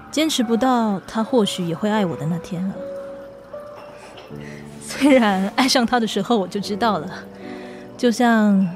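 A woman voicing a gentle, guarded young woman in a Mandarin audio drama, soft-spoken and restrained, with a Taiwanese accent. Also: mid-range voice, natural voice. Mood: wistful, resigned. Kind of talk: Mandarin radio drama acting. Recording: music bed under the voice.

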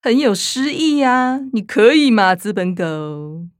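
An actress playing an earnest, fussy young younger sister in a radio drama, with a Taiwanese Mandarin accent. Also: female voice, mid-range voice, big pitch swings, takes it slowly, dry recording, clean studio sound. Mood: teasing.

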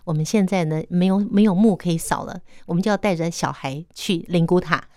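A woman radio host speaks Mandarin with a Taiwanese accent. Her voice sits on the low side and sounds dry, free of room echo. She interviews conversationally, with a teasing manner. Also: fairly quick, mellow. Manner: teasing, reacts with short interjections.

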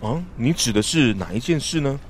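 An actor playing a talkative, weary village traveler in a radio drama, speaking in an emphatic, sighing, storytelling way. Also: male voice, mid-range voice, animated, brisk, slight background noise, lightly acted. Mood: earnest.

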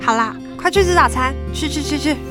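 Woman as a high-voiced, fussing young wife. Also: animated, a little fast. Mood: fond, fussing. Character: loving young wife, gently scolding and fussing. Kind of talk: audio drama acting. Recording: music under the voice.

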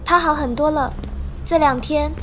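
An actress playing an anxious, guilt-ridden young student in a radio drama, hesitant and murmuring to herself. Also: female voice, sits high, fairly even intonation, normal speed, fairly bright tone, slight background noise. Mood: confused, hesitant.

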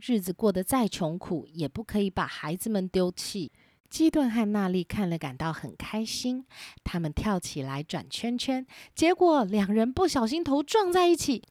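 Gentle woman telling a children's story. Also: on the high side, dry recording, studio quality. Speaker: storyteller host. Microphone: simple home recording setup.

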